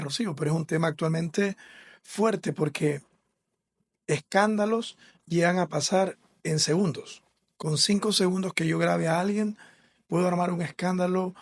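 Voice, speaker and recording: A man's voice: on the high side, creaky. A man from Ecuador, a school administrator, explaining his views earnestly in a podcast interview. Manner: earnest, explanatory, with fillers and restarts.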